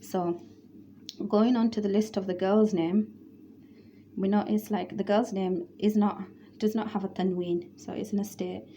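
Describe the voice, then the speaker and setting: A woman's voice: medium pitch, fairly even intonation, measured pace, mellow, slight background noise. A woman teaching Arabic grammar, explaining patiently and repeating word lists.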